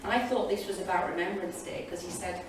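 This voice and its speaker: Lower-voiced chatty woman in an echoey hall. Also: a little fast. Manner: chatty, enthusiastic, digressive. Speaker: history teacher, panelist. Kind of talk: informal spoken reflections to an audience. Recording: echoey hall, distant mic, loud room noise.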